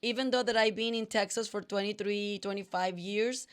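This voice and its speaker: Colombian-accented woman host, smooth voice. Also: medium pitch, steady tone, normal speed. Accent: Colombian Spanish. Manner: warm chatty, non-native grammar. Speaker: show host. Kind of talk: live talk show hosting.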